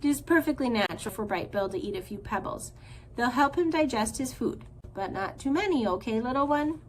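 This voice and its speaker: A woman's voice: a little high, expressive, fairly quick, a little hiss or hum, natural voice. A woman doing a bold old mother goose. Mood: kindly. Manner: firm, kindly and commanding.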